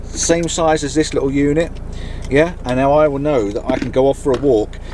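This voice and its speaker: Middle-aged British male vlogger, enthusiastic and informal, medium pitch, casual review delivery recorded inside a campervan with light background noise. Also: moderate pace, darkish tone. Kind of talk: casual product review to camera. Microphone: camera on a mount in the van.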